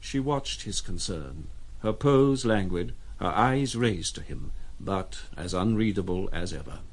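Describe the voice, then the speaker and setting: An actor as a reflective narrator of a literary audio drama, measured and expressive. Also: male voice, low voice, exaggerated voice. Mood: wistful.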